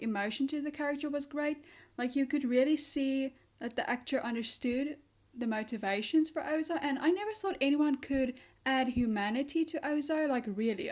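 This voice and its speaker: Young woman reviewer, high voice, raspy and hoarse from illness, chatty and gushing. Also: a little mellow. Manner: gushing, chatty, with many fillers. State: hoarse from being sick. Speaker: show reviewer. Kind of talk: casual spoken review.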